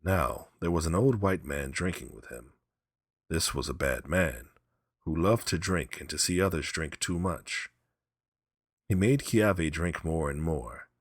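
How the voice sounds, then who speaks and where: A man narrating a scripted story as an audiobook reader, in a measured, deliberate manner. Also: rumbling low, animated, no room sound, studio quality.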